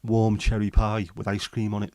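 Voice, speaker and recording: Bloke chatting on a stream, umms a lot, low-ish voice, very dry mic. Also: male voice, completely flat delivery, moderate pace, darkish tone, no echo. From England. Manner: chatty and rambling, with many ums and restarts. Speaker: livestream host. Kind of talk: casual chat, reading and answering live comments.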